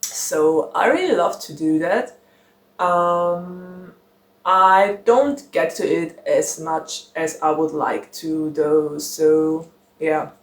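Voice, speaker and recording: Young woman chatting slow and giggly, lots of ums, roomy echo. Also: low voice, fairly even intonation. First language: German. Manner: chatty, giggly, rambling with many fillers. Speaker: book channel host. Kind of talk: casual chat to camera.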